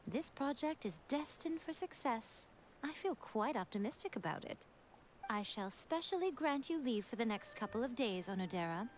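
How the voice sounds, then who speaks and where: An actress playing a sly, elegant female fox-spirit priestess who talks in a smooth, teasing and regal way. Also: on the high side, animated, moderate pace, a little hiss or hum, close to an everyday voice, rough recording. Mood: encouraging. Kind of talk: game cutscene voice acting. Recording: game audio with soft music behind. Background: music.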